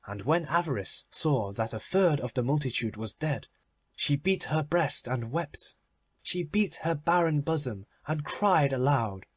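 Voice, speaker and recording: A man reading a literary children's story as a measured audiobook narration. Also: a little high, animated, husky, no echo.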